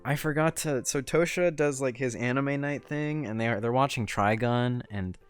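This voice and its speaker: A man's voice: mid-range voice, moderate pace, clean tone, soft background music. Easygoing young male podcast host. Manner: easygoing, self-deprecating. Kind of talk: casual chat.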